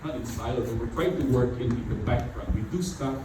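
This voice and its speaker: A man's voice: mid-range voice, fairly quick. Man preaching, English as a second language, echoing hall, background noise. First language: not English. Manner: repeats phrases for emphasis, many "you know" fillers. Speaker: pastor. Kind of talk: sermon. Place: church hall. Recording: very echoey hall, far from mic, background noise.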